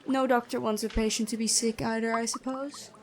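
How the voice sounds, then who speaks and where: A woman playing a stern but kind, noble-born prison guard in a fantasy ASMR roleplay, talking in a measured, conversational way. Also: a little high, flat delivery, close to an everyday voice. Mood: curious, friendly.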